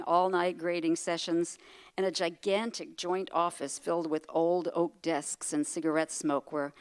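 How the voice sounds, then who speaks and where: An older woman, a retired professor, reads a prepared speech at a luncheon. Her voice sits a little low, and she tells her life story thoughtfully. She adds wry asides and rhetorical questions along the way. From United States. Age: 60s. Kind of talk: prepared speech read to an audience.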